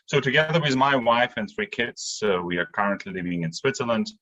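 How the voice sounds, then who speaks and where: A man's voice: mid-range voice, expressive, brisk, sharp tone, highs cut off. A man, giving explanatory answers as a podcast guest. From Latvia. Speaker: podcast guest, industry consultant. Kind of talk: interview answers, explanatory talk.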